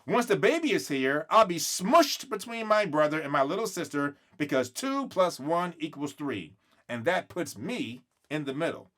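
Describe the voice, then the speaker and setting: A man's voice: a little high, sing-song, normal speed, a bit bright. Man voicing a pouty, indignant little girl. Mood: worried. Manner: pouty, emphatic questioning. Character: indignant, worried young girl narrating her family story.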